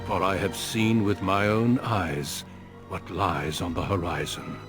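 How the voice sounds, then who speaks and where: An actor playing a grave, weary veteran warrior solemnly narrating a fantasy game prologue in a measured, portentous way. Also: male voice, sits low, fairly even intonation, music in the background. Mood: foreboding. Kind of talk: solemn dramatic scripted narration.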